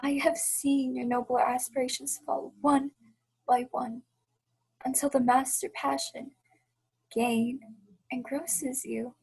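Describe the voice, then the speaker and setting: A woman's voice: sits high, level tone, takes it slowly, vocal fry, long pauses, a little mellow, slightly rough, heavily acted, low-quality recording. A young woman playing a heartbroken but resolute, dignified fiancée in a radio play, speaking earnest, formal period English. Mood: wounded, resolute. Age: young adult.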